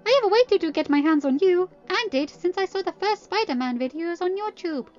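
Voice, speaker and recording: Woman acting as teenage princess, high-pitched, gleeful, teasing, eager, playful, storybook character, music underneath. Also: expressive, fairly quick, darkish tone, dull audio. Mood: excited.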